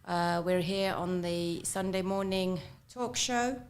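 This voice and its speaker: A woman hosting a morning radio talk show. She speaks in a measured way, affirms her guest, and repeats the guest's points back. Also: fairly low pitch. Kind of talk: radio interview.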